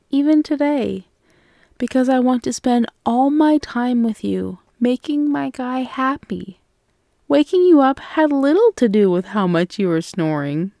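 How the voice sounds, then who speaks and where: A woman playing a loving, playful girlfriend in an ASMR roleplay, speaking in a soft, cooing, teasing way. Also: a little high, no echo, studio quality, heavily acted. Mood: adoring, then playfully teasing. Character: loving, playful, affectionate girlfriend waking her partner.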